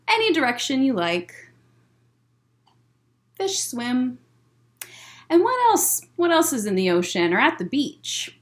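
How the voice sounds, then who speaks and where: A woman hosting a story time for young children, talking and reading aloud in an encouraging, animated way with simple, child-directed phrasing. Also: high voice, slow, long pauses.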